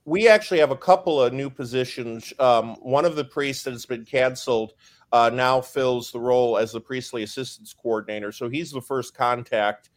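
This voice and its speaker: Booming-voiced priest, emphatic and indignant. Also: male voice, moderate pace. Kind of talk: casual conversation on a live stream.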